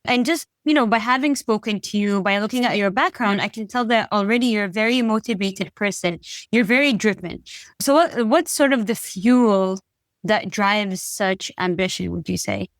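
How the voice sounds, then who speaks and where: A woman's voice: mid-range voice, moderate pace, no room sound. A woman hosting a podcast, enthusiastic and upbeat, laughing easily. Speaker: podcast host. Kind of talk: casual interview hosting.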